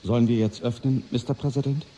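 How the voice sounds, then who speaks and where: A man's voice: medium pitch, steady tone, fast, dark tone, close to an everyday voice. A man playing a deferential presidential aide in a 1980s radio drama. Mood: subdued, uneasy. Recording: faintly noisy radio play track.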